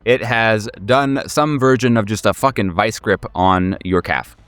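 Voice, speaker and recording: A man's voice: lowish voice, steady tone, unhurried, fairly bright tone, clear, resonant tone, dry recording. A man game mastering a horror tabletop game in casual, improvised narration, joking and swearing freely. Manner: profane, joking.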